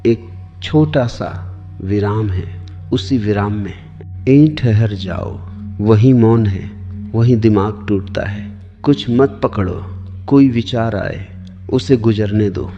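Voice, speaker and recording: A man narrating a guided sleep meditation as spiritual discourse, giving soothing, deliberate instructions in short phrases with pauses. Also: fairly low pitch, mellow. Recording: long reverb, slightly dull, music behind.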